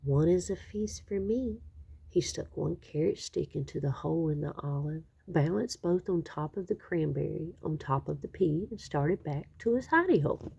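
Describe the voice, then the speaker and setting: A woman reading a children's picture book aloud expressively, with dramatic, drawn-out emphasis on key words. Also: deep voice, creaky, darkish tone, husky. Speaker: children's story reader.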